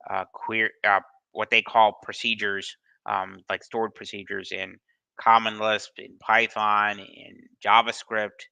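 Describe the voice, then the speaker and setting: A man's voice: sits low, creaky, bright, forceful tone, no echo, muffled recording. A man on a video call answering questions in a casual live session, hesitant, with many ums and restarts. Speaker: study group presenter answering questions.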